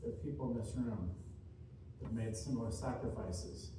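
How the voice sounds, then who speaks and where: A man giving an inspirational speech to a room, earnest and deliberate, speaking in short, emphatic, repeated phrases. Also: medium pitch. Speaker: motivational speaker, author. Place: large indoor room at a seminar. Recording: distant mic, echoey room, faint noise.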